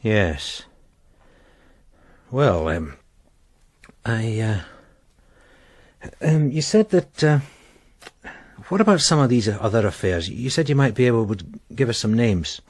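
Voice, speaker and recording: An actor playing a blunt, dogged police sergeant in a radio drama, with pointed, probing delivery. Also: male voice, medium pitch, big pitch swings, takes it slowly, pauses often, darkish tone. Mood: flustered. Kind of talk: naturalistic radio drama acting.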